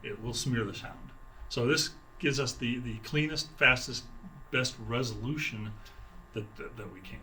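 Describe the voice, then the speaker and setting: A man giving an informal product explanation in an interview as a sales director, speaking haltingly with restarted phrases. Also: medium pitch, pushed and bright, slight background noise. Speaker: sales director for a loudspeaker maker. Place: exhibit room at an audio trade show.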